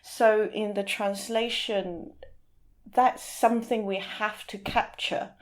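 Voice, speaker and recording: A woman talking as a literary translator in an interview, explaining thoughtfully in halting phrases with some repeated words. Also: medium pitch, fairly even intonation.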